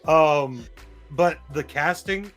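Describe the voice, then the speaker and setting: A man's voice: a little high, slow, fairly bright tone, soft background music. A man chatting casually and enthusiastically about films on a live stream, speaking emphatically.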